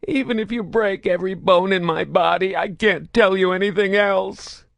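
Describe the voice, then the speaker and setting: A terrified whining alien merc. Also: male voice, fairly even intonation, moderate pace, creaky, no echo. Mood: fearful. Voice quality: high-pitched mewling whine. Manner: pleading, desperate, stammering. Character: terrified crippled male alien mercenary, begging.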